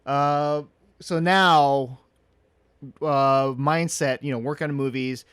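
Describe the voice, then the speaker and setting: Male interviewer, mid-pitched, easygoing with lots of fillers, dry close-miked sound. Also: fairly even intonation, slow pace, a bit bright, smooth, dry recording. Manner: agreeable, frequent fillers and backchannel. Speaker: interviewer, host. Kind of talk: casual interview chat.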